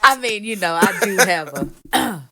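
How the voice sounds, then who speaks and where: A woman singer and songwriter with a deep voice, chatting as a guest in an animated, emphatic, playfully teasing way. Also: unhurried, dry recording. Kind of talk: casual interview chat.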